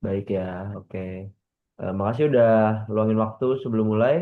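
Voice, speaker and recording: A man in his late twenties leading a practice coaching session over a video call, speaking gently and informally with frequent hesitations. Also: on the low side, fairly even intonation, a little fast, narrow, tinny audio. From Bekasi, Indonesia. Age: 20s. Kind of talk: role-play coaching session.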